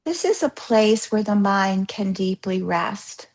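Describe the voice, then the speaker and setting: A woman leading a guided yoga nidra practice as a yoga therapist, gently instructing in a soothing, unhurried way with pauses. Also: mid-range voice, lively intonation.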